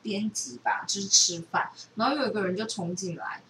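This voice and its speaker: A woman's voice: mid-range voice, brisk, gravelly, a bit bright. A woman with a Taiwanese Mandarin accent chatting casually, retelling things in a rambling, loosely strung way. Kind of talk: casual chat, retelling a book. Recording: echoey room, distant mic, background noise.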